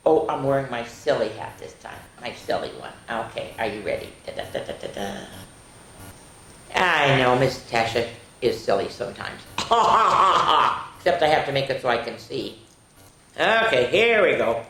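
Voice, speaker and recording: A woman's voice: a bass voice, lively intonation, moderate pace, fairly bright tone, a little hiss or hum. A woman hosting a children's storytime, reading picture books aloud with playful, chatty asides to her young listeners. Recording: echoey, roomy sound.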